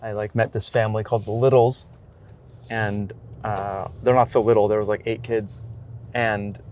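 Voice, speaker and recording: Casual, halting man over background noise. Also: fairly low pitch, level tone, darkish tone. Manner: halting, loose, informal talk full of "like". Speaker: documentary street photographer. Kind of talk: casual vlog chat while out shooting.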